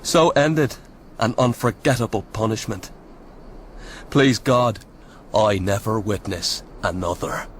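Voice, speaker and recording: Soldier, radio drama, low pitch, grave, weary, measured delivery, faint recording noise. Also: male voice. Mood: grave, haunted. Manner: grave, measured, old-fashioned storytelling. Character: sombre Irish soldier sergeant, weary eyewitness storyteller. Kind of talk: radio drama acting and narration.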